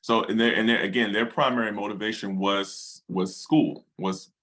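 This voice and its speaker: A man's voice: on the low side, steady tone, normal speed, fairly bright tone. A man interviewing a guest about her history, asking questions with many hesitations and restarts.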